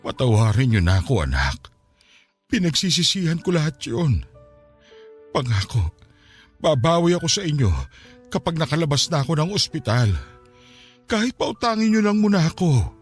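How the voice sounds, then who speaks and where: A man's voice: a little high, sing-song, normal speed, long pauses, husky, soft background music. A Filipino man playing a remorseful aging father in a radio drama, pleading hesitantly and tearfully with an estranged child. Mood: desperate, remorseful.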